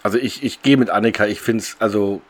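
Lowish-voiced sceptical man chatting. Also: brisk, a crowd in the background. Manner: sceptical, questioning. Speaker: podcast co-host. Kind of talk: casual discussion of an audio drama.

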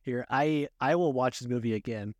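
A man hosting a casual movie review podcast, talking in a halting, repetitive way. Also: mid-range voice, no echo. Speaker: podcast host.

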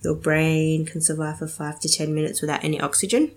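Young woman, podcast host, lowish voice, gravelly fry, a bit nasal from a cold, chatty with lots of ums. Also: steady tone, a little fast. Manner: chatty, giggly, many ums. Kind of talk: casual solo podcast chat.

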